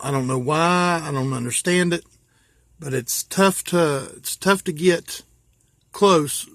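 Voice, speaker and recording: American man, somewhat high-pitched, very slow pace, casual show-and-tell, informal, thinking aloud. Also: no room sound. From West Virginia, USA. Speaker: hobbyist vlogger.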